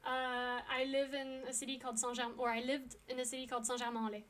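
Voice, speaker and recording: High-voiced young woman streamer chatting. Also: flat delivery, fast talker, a bit bright. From France. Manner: chatty, frequent ums and laughs. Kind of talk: casual chat with viewers.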